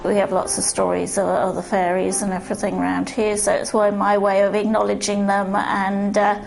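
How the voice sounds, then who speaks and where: A woman's voice: mid-range voice, completely flat delivery, normal speed, creaky, a little mellow, rough recording. A woman answering interview questions about her treehouse, earnest and hesitant with many restarts. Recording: music under interview.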